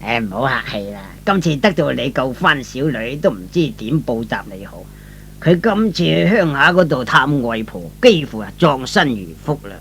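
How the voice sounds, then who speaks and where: A man's voice: medium pitch, very animated, normal speed, gravelly, a bit bright, pitched below the performer's own voice. A man playing a kindly, grateful older father, a well-off merchant, courteous and theatrical in a radio drama. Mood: hospitable, reassuring. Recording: faintly noisy old radio recording.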